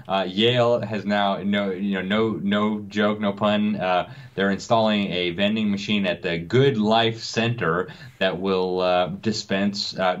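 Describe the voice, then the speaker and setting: A man with a very low, deep voice speaks as an interview guest. He sounds indignant and stumbles through frequent fillers and restarts. The audio is dull and lo-fi. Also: a bit bright. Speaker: guest commentator. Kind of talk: talk show interview.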